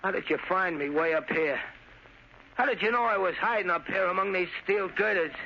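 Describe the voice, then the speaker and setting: An actor playing an unhinged, vengeful young war veteran turned sniper in a 1940s radio drama, speaking in an obsessive, repetitive, childlike way. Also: male voice, brisk, gravelly. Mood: startled, bewildered. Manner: high-strung, obsessive, repetitive. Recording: dull, noisy old radio recording.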